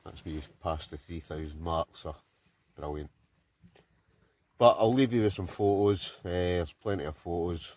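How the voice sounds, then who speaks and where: A man's voice: low voice, measured pace, darkish tone, no room sound. A Scottish man in his forties, chatting casually to a phone camera held at arm's length, outdoors by a river and inside a tent, rambling with many fillers. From Scotland. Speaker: vlogger.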